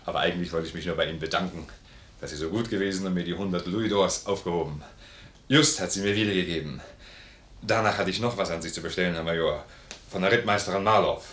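Low-voiced, loyal sergeant. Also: male voice, fast talker, fairly bright tone, roomy sound. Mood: puzzled, eager. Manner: plain-spoken, earnest, insistent, theatrical delivery. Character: loyal, blunt, warm-hearted army sergeant, devoted to his major. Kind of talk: German classical stage comedy as radio play.

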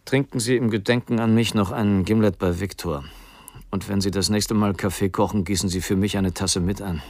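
Male actor doing a low gravelly doomed guy on the run, subdued and melancholy, farewell letter in a crime radio play, dry sound. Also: fairly even intonation, brisk, a little mellow, dry recording, natural voice. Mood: resigned, melancholy. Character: resigned, frightened man on the run, voicing farewell letter. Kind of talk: radio play monologue, letter read in character.

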